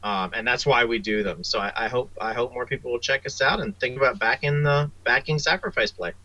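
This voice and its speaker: A man speaking as a writer guest in a casual interview, halting and repeating words. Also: mid-range voice, sharp tone, lo-fi sound.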